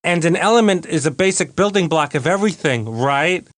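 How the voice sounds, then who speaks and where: A woman voicing a brisk, bossy court officer in an animated cartoon. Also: deep voice, no echo, clean studio sound. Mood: pushy.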